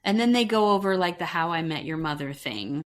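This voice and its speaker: A woman's voice: lowish voice, animated, fairly quick. A woman co-hosting a podcast, chatting casually and conversationally as she recaps television. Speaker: podcast co-host.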